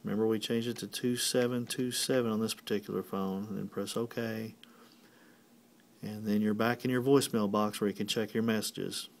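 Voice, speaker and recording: A man's voice: lowish voice, fairly even intonation, moderate pace, gravelly. Man giving a methodical instructional walkthrough. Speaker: technician giving a tutorial.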